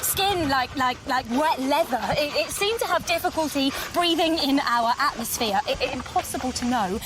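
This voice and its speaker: High-voiced, anxious woman scientist. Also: lively intonation. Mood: shaken, awed. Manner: halting, stammering under stress. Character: anxious woman scientist reporting live on an alien landing. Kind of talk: audio drama acting, field report. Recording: noisy outdoor scene with loud background ambience.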